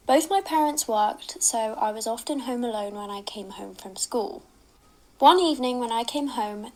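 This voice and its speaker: Higher-pitched woman narrating a spooky story. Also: poor audio.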